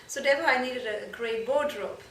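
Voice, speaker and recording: High-voiced woman, echoey distant recording. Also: a little fast, a bit bright. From Germany. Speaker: fashion and shoe designer being interviewed. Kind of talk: informal interview answers. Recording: echoey room, distant mic, noisy background.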